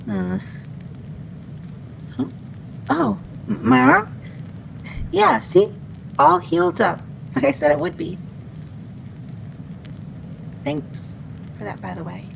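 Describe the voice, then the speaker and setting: A woman playing a friendly, skittish wendigo in an ASMR roleplay, protective and halting in the way this forest monster talks. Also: medium pitch, big pitch swings, exaggerated voice. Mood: uneasy, welcoming. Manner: hesitant, halting, hushing. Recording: clearly noisy background.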